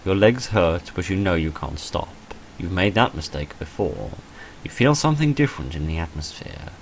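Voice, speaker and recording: A man's voice: low voice, expressive, normal speed, creaky, a little hiss or hum, poor audio. A man reading visual novel text aloud dramatically, with dry, understated wry commentary in between. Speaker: gamer recording a playthrough.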